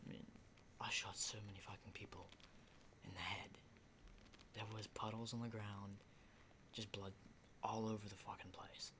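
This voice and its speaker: A man playing a battle-hardened, rattled survivor of a zombie apocalypse in an ASMR roleplay, rambling and swearing in hushed, halting speech. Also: on the low side, little pitch movement, noisy background, lightly acted. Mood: grim, boastful.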